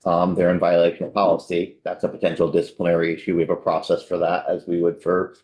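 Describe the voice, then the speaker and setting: A man's voice: sits low, completely flat delivery, quick pace, darkish tone. A man testifying before a committee in a conversational, reassuring way. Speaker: corrections official testifying. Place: legislative hearing room. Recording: echoey hearing room.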